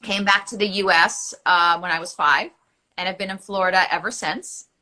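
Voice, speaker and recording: Bubbly, bright-voiced woman guest. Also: medium pitch, steady tone, normal speed. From United States. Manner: bubbly, laughs often. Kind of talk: casual interview chat.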